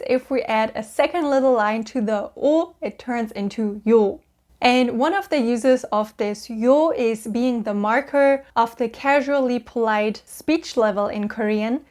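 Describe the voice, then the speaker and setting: Young German woman, fairly high pitch, upbeat patient teacher of language basics. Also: darkish tone. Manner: cheerful, patient, drawn-out sounds for repetition. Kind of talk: explaining Korean vowels to learners.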